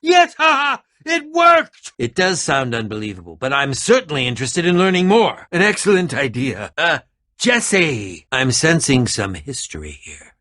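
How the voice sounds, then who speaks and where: An actor playing an eccentric, excitable adventurer and potion maker in a video game, with fussy, theatrical delivery and dramatic emphasis. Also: male voice, a little high, normal speed, no room sound, clean studio sound, full character voice. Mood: excited. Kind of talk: animated video game character acting.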